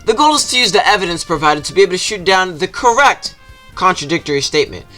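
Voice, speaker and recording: A man's voice: sits high, animated, quick pace, sharp tone. A man narrating a comedic scripted video game review with a sarcastic, exaggerated delivery. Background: music behind the voice.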